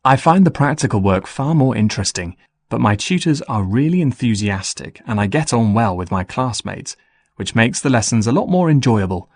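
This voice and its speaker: Man acting lively young male student, very dry sound. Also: mid-range voice, moderate pace, dry recording. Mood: earnest, friendly. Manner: clearly enunciated, scripted. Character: busy, friendly male business student, James. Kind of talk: scripted dialogue for an English listening test.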